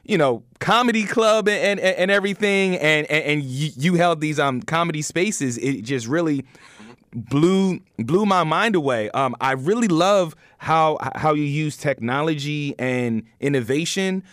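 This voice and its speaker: A man hosting a conversational interview, speaking haltingly and often repeating words as he works toward his question. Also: a little high, a bit bright, no room sound, studio quality. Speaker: podcast interviewer, host.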